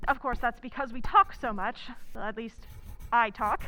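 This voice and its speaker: A woman's voice: a little high, moderate pace, bright, forceful tone, poor audio. A woman playing an eager, talkative young woman writer in old-time radio drama style, with earnest enthusiasm. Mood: excited, affectionate.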